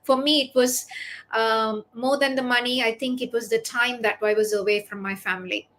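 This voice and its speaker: A woman with a distinctly high-pitched voice hosts an online interview. She talks in a chatty, conversational way as a business coach, pausing and doubling back on words as she goes. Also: fairly even intonation, fairly bright tone.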